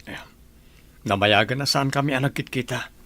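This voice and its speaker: A man's voice: medium pitch, background noise, close to an everyday voice. An actor with an Ilocano accent playing a modest, dutiful son and successful businessman, speaking politely in a radio drama. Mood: earnest, polite. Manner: polite, earnest, measured.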